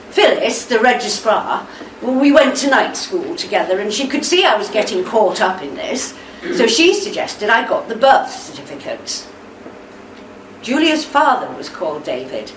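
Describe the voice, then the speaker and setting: An actress playing a steady, reassuring middle-aged wife in a radio play, gentle and consoling. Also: female voice, mid-range voice. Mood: careful, explaining. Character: steady, tender, reassuring wife of a troubled veteran. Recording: roomy, distant mic, faint background noise.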